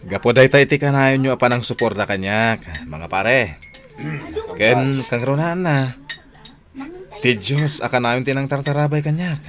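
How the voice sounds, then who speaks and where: A man's voice: mid-range voice, normal speed, crowd noise, natural voice. An actor playing an earnest, forgiving young male graduate in a radio drama, speaking conversationally with an Ilocano Filipino accent. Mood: sincere. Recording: music behind dialogue.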